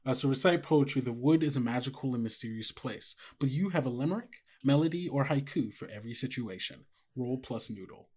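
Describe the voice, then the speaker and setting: A man's voice: mid-range voice, brisk, few pauses, a little mellow, husky. A man speaking casually and agreeably as the game master of a tabletop roleplaying game. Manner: agreeable, conversational, often affirming players.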